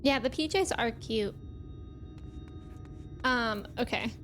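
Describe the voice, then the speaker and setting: High-voiced woman livestreaming a game. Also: takes it slowly, fairly bright tone, a little hiss or hum. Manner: playful, thanking and greeting viewers. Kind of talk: casual livestream chat, reacting to a game.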